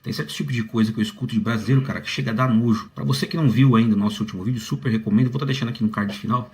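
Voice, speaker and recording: Man, vlogger, quick slangy rant, middle pitch. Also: a little mellow. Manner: fast, slangy and indignant complaining. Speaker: video creator. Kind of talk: casual vlog commentary.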